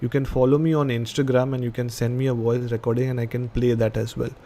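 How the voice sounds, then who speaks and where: A man's voice: mid-range voice, level tone, fast, warm tone. Tipsy young Indian man hosting a live horror podcast. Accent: Indian English. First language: not English. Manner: laughing, rambling, reads out chat messages. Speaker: podcast host. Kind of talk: casual live-stream chat and story reading.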